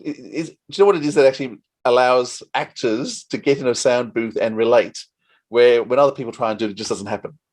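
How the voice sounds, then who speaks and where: A man's voice: mid-range voice, fast talker, a little mellow. A man hosting a casual interview, chatting as the interviewer.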